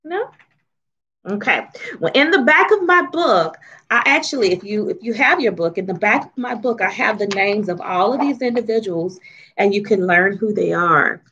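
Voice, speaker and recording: A woman with a mid-pitched voice reads a children's book aloud and explains it as she goes. Her phrasing is emphatic and teacherly, with frequent questions to young listeners. The recording is a little dull and lo-fi. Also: expressive, normal speed, sharp tone, slightly rough. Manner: teacherly, asks questions and checks in often. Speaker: children's author and storyteller.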